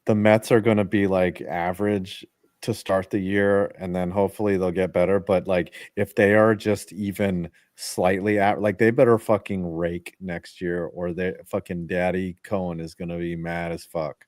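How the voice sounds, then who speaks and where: Blunt, profane man with a low voice. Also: little pitch movement, moderate pace, a little mellow, dry recording, studio quality. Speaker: podcast co-host. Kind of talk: casual sports chat.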